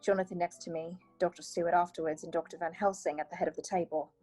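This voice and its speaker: An actress playing a composed young wife who reads out formal minutes in a clipped radio drama narration. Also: female voice, fairly low pitch, fairly even intonation, fast, mellow, lightly acted. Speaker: actor in a radio play. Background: music.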